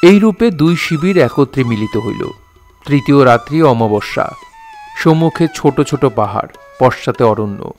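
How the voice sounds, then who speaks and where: Formal male storyteller, mid-pitched, over music. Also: expressive, normal speed, a little mellow. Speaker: narrator. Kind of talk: literary Bengali audio drama narration.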